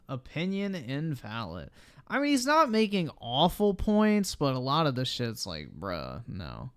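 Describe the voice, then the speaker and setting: A man's voice: mid-range voice, a bit bright, smooth. A man livestreaming casual reaction commentary in a blunt, profane manner.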